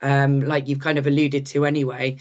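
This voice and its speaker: A woman's voice: a bass voice, level tone, a little fast, a little mellow, lo-fi sound. A woman hosting a podcast interview, asking long, rambling questions full of ums and restarts. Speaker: podcast host.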